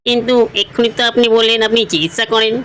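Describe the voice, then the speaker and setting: A man's voice: extremely high pitch, rapid-fire, pushed and bright, faint music behind, low-quality recording. A man voicing the death god's naive, literal-minded scribe in a comic cartoon dub, earnestly pestering with questions. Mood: puzzled, insistent. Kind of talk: comic Bengali cartoon dubbing.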